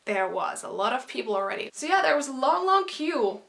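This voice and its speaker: A young woman from Finland, a native Finnish speaker, chatting casually to camera as a vlogger in an animated storytime style. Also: high voice, creaky. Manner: chatty and animated, quick asides and quoted exclamations.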